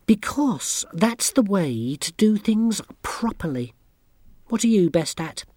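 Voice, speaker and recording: A woman's voice: medium pitch, very animated, takes it slowly, mellow, dry recording, pitched above the performer's own voice. A woman voicing a haughty, bossy teenage girl witch who talks down to everyone in a sneering, imperious way.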